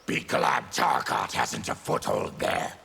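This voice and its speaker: An actor playing a fierce, proud, embittered mutant warrior in a fighting game, growling and deliberate. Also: male voice, fairly low pitch, wildly swooping pitch, pushed and bright, full character voice. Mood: grim, weary. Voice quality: gravelly, growling. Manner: menacing, deliberate. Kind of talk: fighting game character dialogue.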